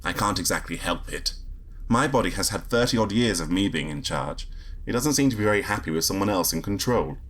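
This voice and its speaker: A man's voice: deep voice, a little fast. A man in his thirties playing a put-upon, grumbling character in an audio drama. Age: 30s. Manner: grumbling, animated. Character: put-upon, protesting man sharing his body with AIs.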